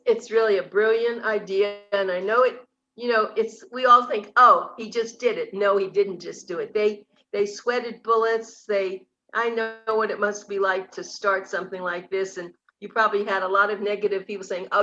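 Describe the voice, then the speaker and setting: A woman's voice: medium pitch, fairly even intonation, normal speed, fairly bright tone. Woman, interview host, chatty, enthusiastic, lo-fi muffled sound. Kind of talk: casual interview conversation.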